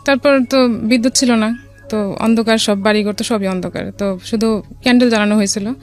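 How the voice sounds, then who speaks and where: A woman from Bangladesh with a Bangladeshi accent, telling a personal story as a radio guest in a casual, somewhat halting way. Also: on the high side, steady tone, darkish tone. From Dhaka, Bangladesh. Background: music.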